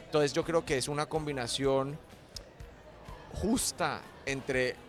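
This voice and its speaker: A man's voice: fairly high pitch, fairly even intonation, slow, faint music behind. A middle-aged man from Colombia with a Colombian accent, a guest in a radio interview, explaining his ideas with sayings and metaphors. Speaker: entrepreneur guest.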